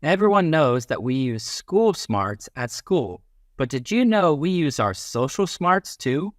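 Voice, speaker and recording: A man reading a children's book aloud as a teacher, in an expressive, instructive way that leans on key phrases. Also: a little high, unhurried, no room sound, studio quality.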